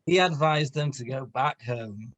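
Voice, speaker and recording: A man's voice: medium pitch, measured pace, fairly bright tone. A man reading a story aloud for comedy with dramatic delivery, stumbling and correcting himself. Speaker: co-reader on a comedy stream.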